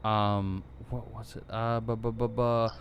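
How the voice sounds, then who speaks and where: A man's voice: on the low side, flat delivery, unhurried, highs cut off, a little hiss or hum. A young man co-hosting a podcast, rambling and joking in casual chat, stumbling for words. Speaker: podcast co-host.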